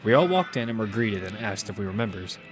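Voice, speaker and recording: A man's voice: on the low side, big pitch swings, fast, faint music behind. A man playing a casual, confident young gamer who narrates his story in the first person and teases his friends. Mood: narrating. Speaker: narrator and lead character. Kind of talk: first-person audio fiction narration and dialogue.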